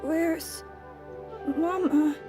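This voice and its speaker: A woman voicing a frail, bewildered young boy in a game, timid and halting. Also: very high voice, steady tone, music in the background, exaggerated voice. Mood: groggy, timid. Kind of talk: dramatic game voice acting.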